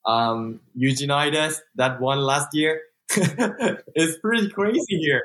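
A man's voice: fairly high pitch, slow, fairly bright tone. A young Thai man with a Thai accent, chatting in an interview in non-native English, full of "like" and restarts, laughing easily. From Thailand. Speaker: guest entrepreneur. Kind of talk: casual interview.

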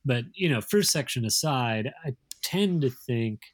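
A man talking casually as an interview guest, thoughtful and explanatory, often halting as he works through his point. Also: medium pitch, expressive. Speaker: magazine editor, interview guest.

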